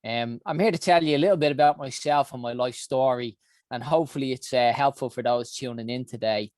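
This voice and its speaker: A man's voice: medium pitch, a little fast, a little mellow, dry recording. Dublin man, dry close voice. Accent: Dublin Irish. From Ireland. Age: 40s. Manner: earnest, with frequent ums and uhs. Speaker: health summit founder. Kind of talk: recorded personal talk.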